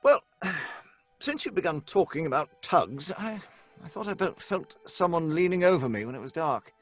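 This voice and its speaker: An actor with a British accent playing a nervous, earnest young gentleman who speaks hesitantly in an old-fashioned radio drama. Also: fairly high pitch, sing-song, moderate pace, soft background music, full character voice. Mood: uneasy. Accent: British English. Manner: hesitant, jittery.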